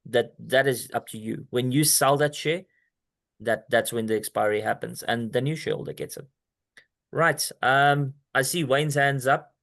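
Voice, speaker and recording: A man's voice: mid-range voice, moderate pace, creaky, a bit bright. Male host on a video call, casual and rambling, many restarts. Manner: rambling, restarts often, talks straight to the listener. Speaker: company presenter hosting a video call.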